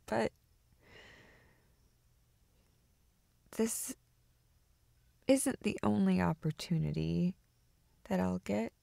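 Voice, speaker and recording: A woman playing a shy, affectionate young woman in an intimate roleplay audio, speaking softly and breathily, often near a whisper, with halting stammers. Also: fairly low pitch, vocal fry, no echo, full character voice. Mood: earnest, hesitant. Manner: hesitant, halting, with nervous stammers.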